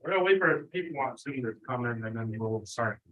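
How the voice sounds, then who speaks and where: A man's voice: medium pitch, animated, fairly quick, narrow, tinny audio. A young man hosting a workshop, talking in a casual, offhand way. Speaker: workshop host. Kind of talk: casual, offhand pre-meeting talk.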